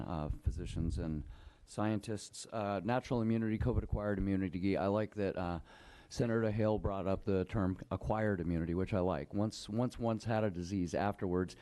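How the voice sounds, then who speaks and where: A man speaks as an expert witness before a legislative committee, his voice worn and fading. His tone is mellow and fairly low, and he talks in a loose, conversational way with throat clearing and filler words. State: losing his voice. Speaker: physician testifying to a state senate committee. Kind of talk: formal testimony.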